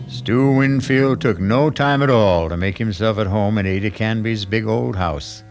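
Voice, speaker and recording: A man narrating a radio mystery drama from a script in a measured storytelling manner. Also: fairly low pitch. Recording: archival radio with faint music.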